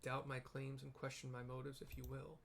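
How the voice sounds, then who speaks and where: A man's voice: mid-range voice, monotone, a little fast, gravelly, a bit bright, a little hiss or hum. A man reading aloud a video game's solemn, cryptic emissary of an ancient god, in formal, portentous phrasing. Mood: solemn, foreboding. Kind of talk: reading aloud game dialogue. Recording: music behind the voice.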